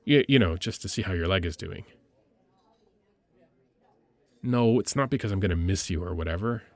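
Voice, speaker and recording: Man acting as low, gravelly space-military medic. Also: quick pace, dry recording. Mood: teasing, fond. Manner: informal, hesitant, teasing, conversational. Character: caring, teasing male space-military medic lieutenant, playfully gruff. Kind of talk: intimate ASMR audio roleplay acting.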